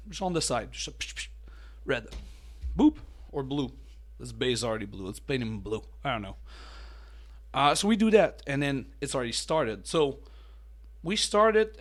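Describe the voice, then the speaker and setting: A man hosting a miniature painting channel, chatting casually with a mellow voice, full of fillers and restarts. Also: fairly high pitch. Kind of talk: casual talking-head hobby tips.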